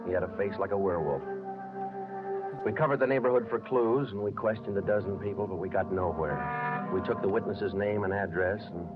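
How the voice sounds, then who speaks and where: A man plays a terse police sergeant from a vintage radio crime drama. His voice is low and matter-of-fact, without frills. The old recording is muffled, with prominent music behind it. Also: brisk, lightly acted. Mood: deadpan. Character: clipped, businesslike male police detective sergeant, narrating his case.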